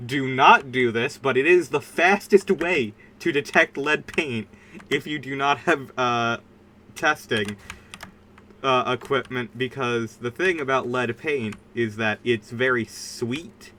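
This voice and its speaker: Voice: mid-range voice, takes it slowly, sharp tone, slight background noise. A streamer chatting casually during a game livestream in a rambling, informal and emphatic way. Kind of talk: casual livestream chat while gaming.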